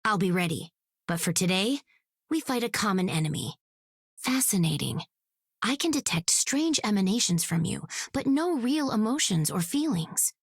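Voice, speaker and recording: A perceptive alien heroine in a video game. Her voice is husky and expressive, calm and formal yet playful. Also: mid-range voice, moderate pace, dry recording, clean studio sound. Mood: steady, grave. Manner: formal, calm, gently teasing.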